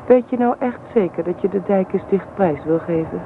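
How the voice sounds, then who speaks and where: An actress playing a hurt, practical young wife in a radio play, speaking in a plaintive, reproachful way. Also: female voice, on the low side, normal speed, close to an everyday voice. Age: young adult. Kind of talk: Dutch radio play acting. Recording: noisy, thin, phone-like old radio recording.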